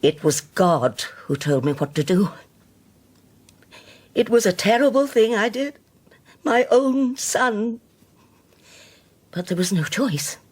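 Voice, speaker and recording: An actress playing a grave, devout older Scottish widow in a radio drama, speaking solemnly and haltingly. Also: female voice, mid-range voice, lively intonation, darkish tone, husky. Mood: grave confession. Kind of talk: naturalistic radio drama acting.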